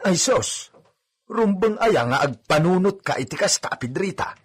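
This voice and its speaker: A man acting an excitable, fawning Ilocano servant. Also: sits high, normal speed, husky. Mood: animated, defensive. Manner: animated, fawning, exclamatory. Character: eager, deferential male villager serving a rich landowner. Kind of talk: Ilocano comedy radio drama acting.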